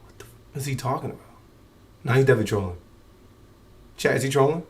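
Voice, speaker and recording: A man streaming, reacting to a dating show with loud, incredulous, slangy commentary to his chat. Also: mid-range voice, fast, distant mic. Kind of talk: casual live reaction commentary.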